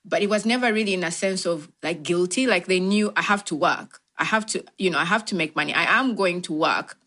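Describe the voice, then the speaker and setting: A woman's voice: on the low side, brisk, pushed and bright. A woman with a Ugandan accent giving reflective, explanatory answers in a conversational interview, often saying "you know". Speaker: tech organisation founder, interview guest.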